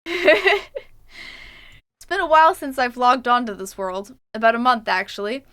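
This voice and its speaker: A woman's voice: on the high side, big pitch swings, normal speed, a bit bright. A young adult Canadian woman streaming a game, chatting casually and reading her journal entries aloud. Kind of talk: casual livestream chat while gaming.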